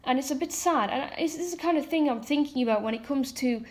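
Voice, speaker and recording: A woman from Ireland talks to camera in a loose, unscripted monologue. Her voice sits a little high, and she drifts between earnest points and scoffing asides, padding her speech with fillers as she thinks aloud. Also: fast talker. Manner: candid, frequent fillers and mocking asides. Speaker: ex-member commentator. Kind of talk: casual monologue commentary.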